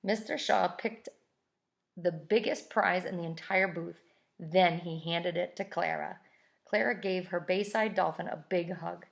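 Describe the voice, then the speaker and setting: A woman reading a children's story aloud like a teacher, pausing to explain things step by step in simple words. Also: fairly low pitch, gravelly.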